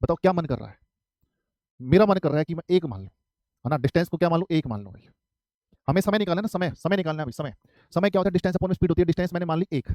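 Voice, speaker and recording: Fast-talking male math tutor, dry sound. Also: fairly high pitch, darkish tone, dry recording. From Haryana, India. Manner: repetitive, asks and answers his own questions. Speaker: math teacher. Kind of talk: live online exam-prep math class.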